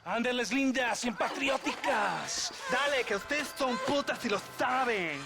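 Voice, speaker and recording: An actor playing a smug, menacing, oily Mexican army officer in a video game, with a Latin American Spanish accent. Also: male voice, very high-pitched, expressive, moderate pace, gravelly, music in the background, exaggerated voice. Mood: leering, coaxing.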